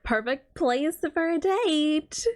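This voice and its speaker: A woman's voice: high voice, animated, slow. A woman giving casual, playful game reactions, giggly and informal, often repeating herself. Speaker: streamer. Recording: background music behind the voice. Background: game music.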